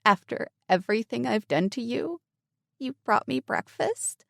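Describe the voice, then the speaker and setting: Fragile weepy young woman, high and shaky, read by a woman narrator. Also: expressive, measured pace, vocal fry, darkish tone, no echo, clean studio sound, heavily acted. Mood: sobbing apology. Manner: high, trembling, pleading. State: crying, choked up.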